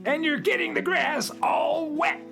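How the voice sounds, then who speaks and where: A woman voicing a grumpy, scolding old male sun in a children's radio play, creaky and indignant. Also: high-pitched, fairly even intonation, measured pace, over music, exaggerated voice.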